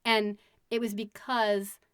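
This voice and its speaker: A woman co-hosting a podcast in casual chat, talking quickly and laughing often. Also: medium pitch, level tone, a bit bright, clean tone, dry recording, clean studio sound. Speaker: podcast co-host.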